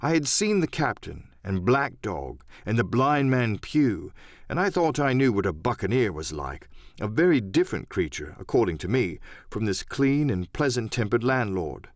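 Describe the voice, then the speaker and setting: Guy reading an adventure story, dramatic and lively, medium pitch, very dry close sound. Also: moderate pace, no echo. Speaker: audiobook narrator. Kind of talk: scripted first-person storytelling, dramatic.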